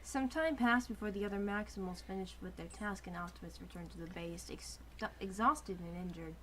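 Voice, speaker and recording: A woman's voice: mid-range voice, brisk, a bit bright. Informal woman reading aloud, faint noise. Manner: informal, self-deprecating. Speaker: podcast co-host and narrator. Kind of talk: amateur dramatic reading of fanfiction, with casual asides.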